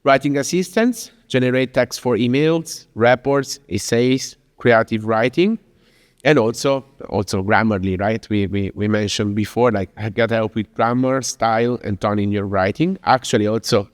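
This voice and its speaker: A man's voice: medium pitch, moderate pace, dry recording, clean studio sound, in a large hall. A man from Italy with an Italian accent, giving a chatty, informal presentation in English with many fillers and restarts. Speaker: speaker at a business talk. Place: venue in Lisbon.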